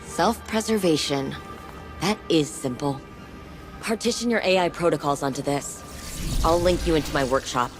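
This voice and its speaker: Actress as headstrong female scientist, lowish pitch, wry, casual, irreverent, video game cutscene, prominent music. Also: moderate pace, close to an everyday voice. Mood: dry, determined. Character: cheeky, headstrong woman scientist adventurer. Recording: loud music under the voice.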